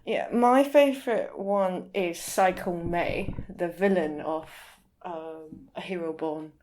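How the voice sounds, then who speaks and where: A woman's voice: fairly low pitch, slow, darkish tone. A woman speaking as a guest in a casual podcast interview, in an informal way. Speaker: podcast guest, literary translator.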